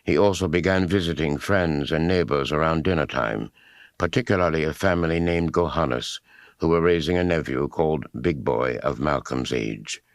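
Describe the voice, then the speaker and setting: A man with an extremely deep voice delivers scripted biographical narration in the third person, like a documentary. The sound is very dry, with almost no room around the voice. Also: normal speed, dry recording. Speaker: audiobook narrator.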